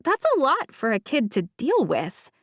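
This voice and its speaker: A woman voicing an eager, curious young female friend in an audiobook, with excitable, incredulous questioning. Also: fairly high pitch, normal speed, no echo, studio quality. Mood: curious, sympathetic. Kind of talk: audiobook character dialogue.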